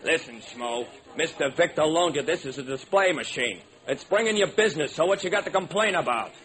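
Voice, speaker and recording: A man's voice: on the high side, lively intonation, brisk, a bit bright. An actor playing a surly, dismissive serviceman in an old-time radio drama, curt and impatient with slangy speech.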